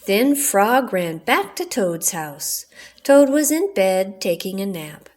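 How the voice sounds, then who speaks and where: A woman's voice: mid-range voice, very animated, takes it slowly, a little mellow. An older woman narrating a children's storybook as a storyteller.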